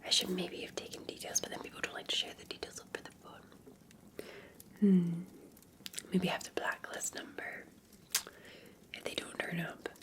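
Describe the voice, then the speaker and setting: A Scottish woman whispering gently in a soothing, unhurried ASMR roleplay as a café barista. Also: lowish voice, flat delivery. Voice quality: breathy, whispered. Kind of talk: soft-spoken ASMR roleplay.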